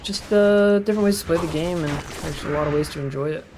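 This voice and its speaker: A man's voice: a little high, fast, background noise. A man from Utah with a Utah accent, a game streamer chatting casually with viewers, rambling with lots of fillers and restarts. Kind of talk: casual chat with viewers while gaming. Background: game music.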